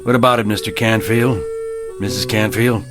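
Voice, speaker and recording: A man's voice: fairly low pitch, fairly even intonation, moderate pace, over music, lightly acted. A man acting a stern, weary frontier sheriff in a radio drama, terse and commanding. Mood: challenging.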